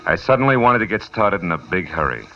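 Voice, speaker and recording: An actor playing a tough, wry private detective who narrates in clipped 1950s radio noir style. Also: male voice, deep voice, very animated, fast talker. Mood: brooding, wry. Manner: hard-boiled, wry, clipped. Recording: muffled vintage radio with music.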